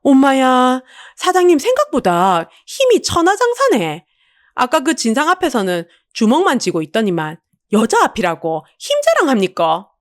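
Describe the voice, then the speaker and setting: Woman acting cheeky, lively Busan market woman. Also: high voice, moderate pace, no room sound, studio quality, pitched above the performer's own voice. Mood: cheeky, proud. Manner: chatty, wheedling, playful bragging. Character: bold, cheeky middle-aged woman street-food seller, sweet-talking.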